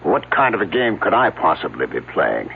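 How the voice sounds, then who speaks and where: Pompous, low-voiced professor. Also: male voice, sing-song, moderate pace, creaky, husky, full character voice. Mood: indignant. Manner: pompous, blustering. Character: indignant, haughty male professor, bossy and defensive. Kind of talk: radio drama acting. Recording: muffled, humming old radio broadcast.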